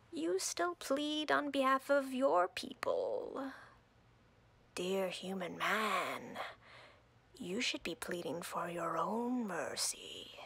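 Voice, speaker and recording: A woman playing an imperious, coldly flirtatious drider queen in a roleplay audio, breathy and whispery, speaking haughtily and deliberately with playful menace. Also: mid-range voice, pushed and bright, no echo, exaggerated voice. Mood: haughty, scornful.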